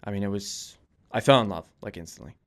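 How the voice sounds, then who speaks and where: A man in his twenties with a clean, smooth voice on the low side. He talks as a podcast guest, telling stories informally and feeling his way through phrases in a casual conversational style. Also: quick pace, a bit bright. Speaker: podcast guest, volunteer firefighter and police dispatcher. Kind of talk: casual conversational interview answers.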